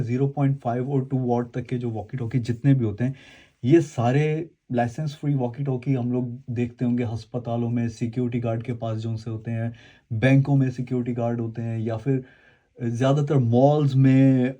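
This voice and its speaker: A man's voice: mid-range voice, warm tone, muffled recording. A man with a mid-range voice presents a casual product demonstration. He explains things to his viewers in a rambling, conversational way, often going back to repeat or correct what he has just said. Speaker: host of an unboxing and review video.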